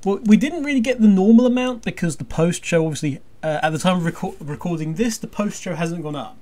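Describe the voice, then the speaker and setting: A podcast host chatting casually in a halting, rambling way, often restarting sentences, with dry, jokey humour. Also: mid-range voice, warm tone, a little hiss or hum. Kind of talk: casual chat.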